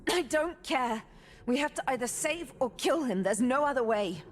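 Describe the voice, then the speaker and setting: A woman's voice: high-pitched, animated, normal speed, slight background noise. A woman voicing a fierce, defiant young warrior daughter in a video game, blunt and combative. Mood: stubborn irritation. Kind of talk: video game cutscene acting.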